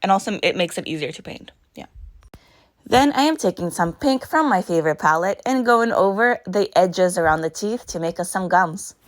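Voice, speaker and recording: A young woman with a raspy voice, narrating a makeup tutorial in a chatty voice-over with storytelling asides. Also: on the low side, animated, moderate pace. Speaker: makeup vlogger.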